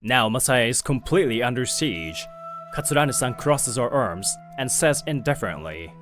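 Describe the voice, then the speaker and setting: A man's voice: medium pitch, lively intonation, normal speed, fairly bright tone, soft background music, natural voice. A man narrating as a young company president. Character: calm young male company president telling his story. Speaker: narrator and lead figure. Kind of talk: manga video narration.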